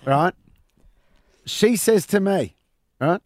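A middle-aged man co-hosting breakfast radio, slightly hoarse from a cough, telling jokey self-mocking stories. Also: sits high, lively intonation, slow, a little mellow, no room sound. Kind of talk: casual radio banter. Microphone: studio microphone.

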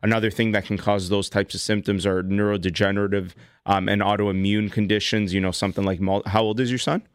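A man in his thirties with a fairly low, crisp, clear voice explains health matters to callers in a measured way. He sounds close and dry, as in a radio studio. He often restarts his sentences. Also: level tone, dry recording. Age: 30s. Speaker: doctor and radio show host. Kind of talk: call-in health advice.

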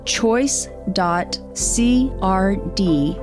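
A woman reading a scripted public service announcement in a measured, earnest, clearly enunciated way. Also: mid-range voice, animated. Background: music.

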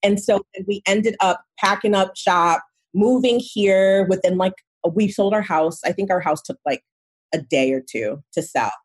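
A young woman with a slightly husky, breathy voice chats loosely on a podcast, swearing freely and breaking into laughter. Her pitch sits in a middle range, and she talks in an animated, unguarded way. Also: normal speed, dry recording. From born in Nigeria, grew up in Michigan, USA. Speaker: guest, mindset coach. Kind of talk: casual podcast conversation.

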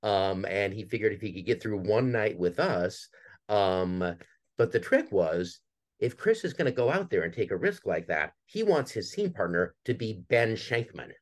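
A man talking reflectively as a guest in a conversational interview, pausing thoughtfully between short phrases. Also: lowish voice, big pitch swings. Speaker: theater director and producer, podcast guest.

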